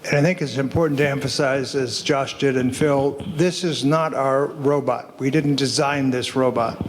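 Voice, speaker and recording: An older man on a panel, sharing anecdotes in a conversational way and often correcting himself. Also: mid-range voice. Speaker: panelist, older adult robot tester. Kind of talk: panel discussion. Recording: hall reverb on the voice.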